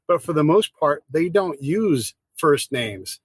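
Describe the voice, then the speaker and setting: A man hosts a podcast, his voice a little higher than most men's. He talks casually and with energy, and the recording is dry and close. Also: expressive, slow, slightly rough, dry recording. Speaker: podcast host. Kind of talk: podcast conversation.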